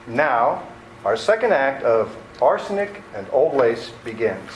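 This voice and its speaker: A man's voice: medium pitch, sing-song, unhurried. A man delivering scripted narration as the narrator of a radio theater show. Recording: echoey room with faint noise.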